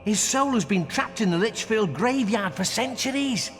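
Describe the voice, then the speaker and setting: An actor playing an eager, excitable scholar in a video game. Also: male voice, sits high, normal speed. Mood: excited. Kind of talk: video game character acting. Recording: long reverberant hall, faint music.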